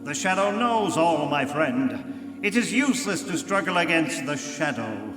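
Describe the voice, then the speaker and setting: A man acting a commanding, all-knowing invisible crime-fighter in old-time radio drama style, declaiming slowly and grandly with menace. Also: a little high, sing-song. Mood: menacing, commanding. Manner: grandiose, slow, taunting declamation. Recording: live in reverberant church, music behind.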